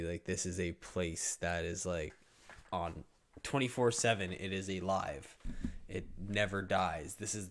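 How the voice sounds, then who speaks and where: A man with a very low, gravelly voice hosts a podcast. His delivery stays flat, laid-back and full of fillers. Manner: laid-back, filler-heavy, wrapping up the show. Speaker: podcast host. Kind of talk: casual podcast chat.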